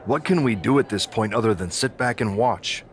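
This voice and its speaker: A man's voice: on the low side, moderate pace, a little hiss or hum, lightly acted. An actor playing a cautious, worried male general manager of a wrestling show in a video game cutscene.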